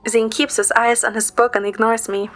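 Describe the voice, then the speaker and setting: A woman voices a young woman telling her own story in an audio drama. The delivery is composed and descriptive, in a fairly high voice with music underneath. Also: little pitch movement, normal speed, lightly acted. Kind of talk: first-person scripted story narration for audio drama.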